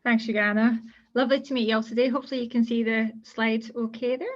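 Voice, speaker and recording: A woman with a Scottish accent giving an online careers presentation as a guest speaker, explaining in a steady, informal way. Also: fairly high pitch, quick pace. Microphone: video call. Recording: dull lo-fi call audio.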